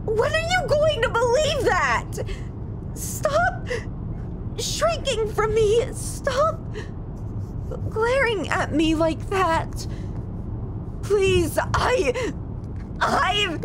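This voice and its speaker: Unhinged possessive yandere girl, high-pitched. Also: expressive, exaggerated voice. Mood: pleading, desperate. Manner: theatrical, teasing, drawn-out phrasing with menacing sweetness. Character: sadistic, possessive, unhinged young yandere woman on the run. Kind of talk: intimate ASMR audio roleplay, addressing the listener. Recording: rumbling low background noise.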